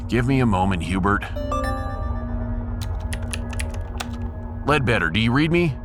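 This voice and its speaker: A man's voice: on the low side, lively intonation, normal speed, loud music behind the voice, lightly acted. A man playing a sarcastic, impatient male starship captain in a sci-fi audiodrama. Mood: resolute. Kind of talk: sci-fi audiodrama character acting.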